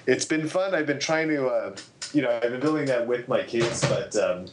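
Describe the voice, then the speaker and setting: A middle-aged man chatting casually as a podcast panelist, halting and repeating words as he explains. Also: mid-range voice, animated.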